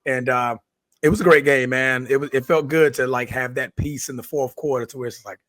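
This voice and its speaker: Hoarse male podcast co-host, relaxed sports talk, dry close mic. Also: medium pitch, fairly quick, dry recording. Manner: laid-back, says "man" a lot. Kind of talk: casual sports chat.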